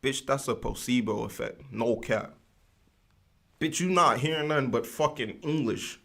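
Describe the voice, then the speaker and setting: Slangy, rambling gravelly-voiced man. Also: mid-range voice, normal speed. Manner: slangy, rambling, animated with lots of fillers. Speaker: livestream host. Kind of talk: casual livestream rant.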